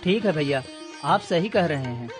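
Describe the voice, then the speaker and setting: A man plays a poor young woodcutter from a children's cartoon tale. He sounds humble, anxious and plaintive, deferring like a younger brother, with clear music playing behind him. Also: fairly high pitch, lively intonation, normal speed, natural voice. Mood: meek. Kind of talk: dramatised children's story.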